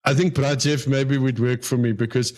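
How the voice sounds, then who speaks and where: A man's voice: medium pitch, steady tone, normal speed, a little mellow. A man in his fifties hosting a radio interview, talking in a rambling way with many fillers and restarts. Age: 50s. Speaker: radio host. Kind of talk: casual interview chat.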